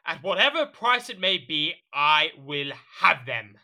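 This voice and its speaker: Jealous, petulant monarch in audio drama: indignant, theatrical, very forceful tone, swooping intonation. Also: male voice, on the high side, sing-song, measured pace, exaggerated voice. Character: petulant, jealous, suspicious French king. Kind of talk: audio drama period acting.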